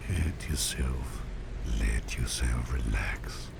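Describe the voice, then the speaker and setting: A man playing a doting, protective businessman boss in an ASMR roleplay, murmuring in a gentle, courteous, breathy whisper with unhurried, reassuring repetition. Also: rumbling low, heavily acted. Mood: soothing, drowsy. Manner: gentle, unhurried, hesitant pauses, repeats phrases reassuringly. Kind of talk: ASMR roleplay, close personal attention. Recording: audible steady background noise.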